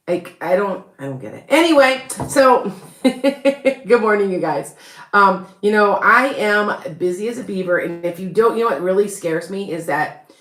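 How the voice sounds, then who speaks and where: A woman's voice: medium pitch, a bit bright, roomy sound. A woman with a Chicago accent, hosting a rambling, chatty live stream as a psychic reader and laughing often. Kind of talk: casual live-stream chat.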